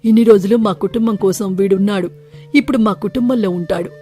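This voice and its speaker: A woman's voice: mid-range voice, a little fast, mellow, soft background music, close to an everyday voice. A woman voicing a harsh, proud village landlord and father in a storybook tale, emphatic and commanding. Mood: remorseful, proclaiming. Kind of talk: storybook character acting.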